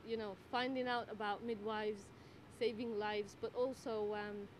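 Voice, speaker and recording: A woman from the Netherlands who works as a midwife and tutor, answering interview questions in a thoughtful way with many fillers and pauses. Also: mid-range voice, steady tone. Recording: noisy background ambience.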